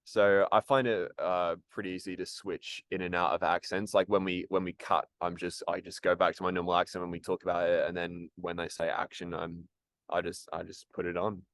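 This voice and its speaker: A man with a very low voice that barely moves in pitch. He answers interview questions casually, stumbling and restarting often. The sound is dry and close. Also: little pitch movement, a little fast, fairly bright tone, no room sound. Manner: hesitant, many ums and restarts. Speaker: actor and musician, interview guest.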